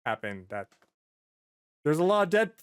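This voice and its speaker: A man's voice: on the high side, big pitch swings, normal speed, clear, resonant tone, no room sound. Casual man chatting on a podcast, dry sound. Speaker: podcast host.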